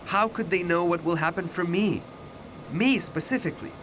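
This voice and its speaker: A man's voice: high voice, moderate pace, a bit bright, slight background noise. An actor in a radio drama playing an anxious but defiant young male university student, questioning with earnest emotion. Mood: anxious doubt. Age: young adult.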